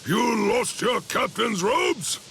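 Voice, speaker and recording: Very gravelly, stern old warrior captain. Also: male voice, very high voice, sing-song, fairly bright tone, a little hiss or hum, full character voice. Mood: outraged scolding. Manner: grave, measured, imperious, scolding. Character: stern, fierce old head captain warrior of spirit squads. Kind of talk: dramatic anime game dub acting.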